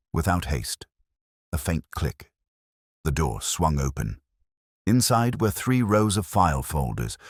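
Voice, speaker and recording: A man narrating a mystery audiobook in a measured, literary storytelling manner. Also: extremely low pitch, breathy edge, no room sound, studio quality.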